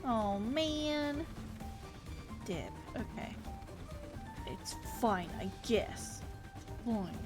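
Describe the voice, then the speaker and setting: A woman livestreaming a game with casual commentary, talking to herself in short, halting phrases in a playfully dramatic way, with game music behind her. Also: on the high side, very animated. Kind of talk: casual live gameplay commentary.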